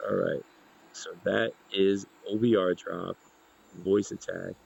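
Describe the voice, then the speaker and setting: A man narrating an informal software demonstration in a measured, explanatory way. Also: deep voice, steady tone, creaky, husky. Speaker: gaming video creator demonstrating software. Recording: dull lo-fi voice recording.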